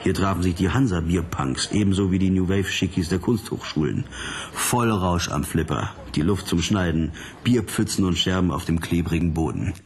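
A man's voice: sits low, moderate pace. An actor playing a wry, hard-boiled pop detective who narrates his case in a dry, laconic way in a radio drama. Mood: scene-setting.

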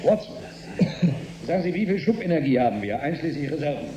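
A man in a radio drama playing a wry, brisk and decisive spaceship crewman gambling on an escape. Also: a little high, big pitch swings, roomy sound, noisy background. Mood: wry, urgent.

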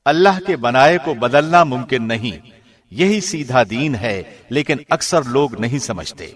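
A man, a professional radio host, reading a translation aloud in a measured, formal way. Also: mid-range voice. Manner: measured, formal, even delivery. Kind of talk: scripted reading.

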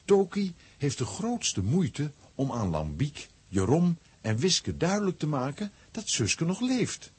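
A man narrating a children's adventure audio play as an expressive storyteller, with a rough, husky voice. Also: fairly high pitch, slow, full character voice. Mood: suspenseful.

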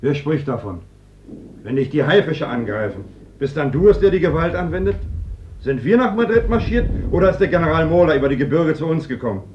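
A man's voice: on the high side, lively intonation, brisk, natural voice. Actor as militant guy in an old radio play, pushy and rhetorical, dull sound w some noise. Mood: challenging. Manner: rhetorical, insistent. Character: militant, insistent man urging armed resistance. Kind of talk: German stage-like radio drama acting. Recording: dull, noisy old radio drama recording.

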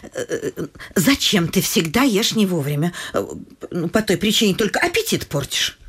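A woman playing a flustered, fussy middle-aged noble mother in a vaudeville radio play, breathy and stammering theatrically. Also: fairly low pitch, sing-song, moderate pace, a bit bright, full character voice. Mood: flustered, scolding. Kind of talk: Russian classic vaudeville radio play acting.

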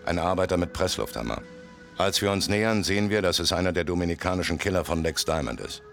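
Radio play casting: a tough, tight-lipped mobster narrator with a very low register, over music. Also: male voice, quick pace, gravelly, fairly bright tone. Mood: grim, detached. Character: tough, laconic male gangster recounting his rise.

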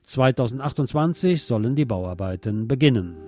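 A man's voice: medium pitch, animated, moderate pace, darkish tone. A man presenting scripted local radio news with dry, wry asides. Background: plucked guitar music.

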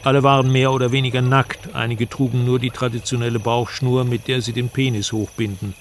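A male actor as a sober traveller recounting a journey, with a mid-pitched voice. The radio drama narration is measured and descriptive, with faint ambient noise of insects behind it. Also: flat delivery, close to an everyday voice. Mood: sober, sombre. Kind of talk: scripted first-person radio drama narration.

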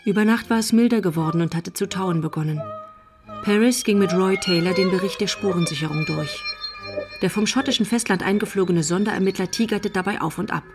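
Lady narrator for a radio play, medium pitch, fiddle music clearly under her. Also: fairly quick. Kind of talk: scripted radio drama narration.

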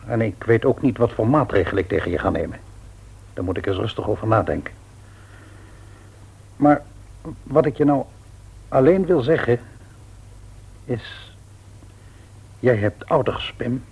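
A man playing an earnest, patient, devout middle-aged father in a radio play, with measured delivery and a rough-edged husky voice. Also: medium pitch, a little mellow, heavily acted, poor audio. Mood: grave, reproachful. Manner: measured, grave, deliberate. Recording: old radio play recording.

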